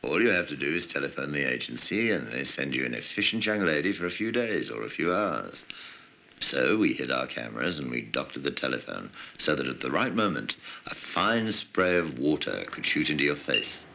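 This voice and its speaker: Man, very deep voice, gravelly, dry amused narration, scripted voice-over for a comedy film. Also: animated, moderate pace, pushed and bright.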